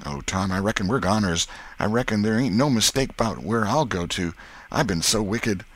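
A man's voice: fairly low pitch, normal speed, no room sound, pitched above the performer's own voice, lightly acted. A man voicing an earnest, easily scared ragamuffin boy in a storybook, plaintive and speaking rustic dialect. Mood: fearful.

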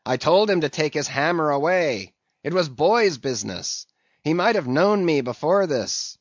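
A rough sailor ringleader, as a male reader puts him on, stands his ground with forceful, defiant speech. His voice sits a little above the reader's own. The recording is dull and dry. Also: on the high side, animated, moderate pace, no room sound, voice pushed up.

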